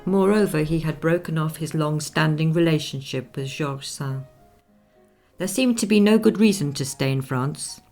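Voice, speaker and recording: Radio drama narrator: poised, measured, very low register with some gravel, faint music underneath. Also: female voice, a little mellow. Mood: composed, narrating. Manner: measured, formal storytelling. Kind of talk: scripted radio play narration.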